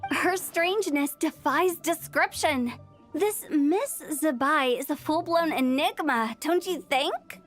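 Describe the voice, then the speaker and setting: Cheeky young game girl, really high voice, teasing, takes her time. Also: takes it slowly, faint music behind. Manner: teasing, theatrical. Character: playful, inquisitive, cheeky young woman. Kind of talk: video game voice acting.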